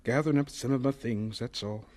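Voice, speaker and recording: An actor playing an earnest, proud scientist and professor in a radio drama, with a husky voice and an emotional, deliberate delivery. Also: male voice, mid-range voice, darkish tone, close to an everyday voice. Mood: dejected.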